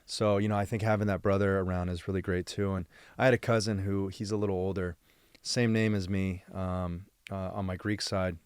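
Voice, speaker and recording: Podcast host guy from san diego, lowish voice, rambly and reflective, dry sound. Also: level tone, brisk, clean tone, no room sound. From San Diego, United States. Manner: rambling, reflective, leans on "you know" as he thinks aloud. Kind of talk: casual interview conversation.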